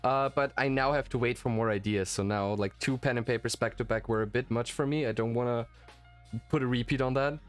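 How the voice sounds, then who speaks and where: A man who speaks English as a native German talks in an average-pitched voice over faint music. He is a game master in a casual post-game chat, rambling along with enthusiasm. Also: steady tone, a little fast, clear, resonant tone. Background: faint background music.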